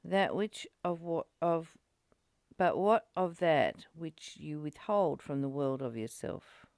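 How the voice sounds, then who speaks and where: A woman's voice: low-pitched, takes it slowly, gravelly, darkish tone, no echo, studio quality. A woman reading aloud and reflecting in casual discussion, in a musing, questioning and conversational manner. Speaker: study group co-host, reader.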